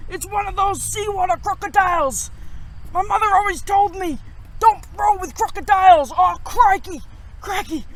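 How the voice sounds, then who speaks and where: A woman playing a melodramatic, excitable pirate sailor in a children's storytime skit, overwrought and exclamatory. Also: very high-pitched, normal speed, voice pushed up, poor audio. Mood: frantic, distressed.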